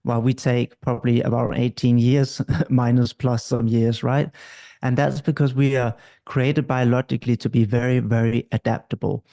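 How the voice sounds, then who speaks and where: Guy, low-key mellow voice, flat steady delivery, explains stuff patiently. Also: creaky. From Denmark. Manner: calm, measured, explains at length. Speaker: guest couples therapist. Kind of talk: explanatory interview talk.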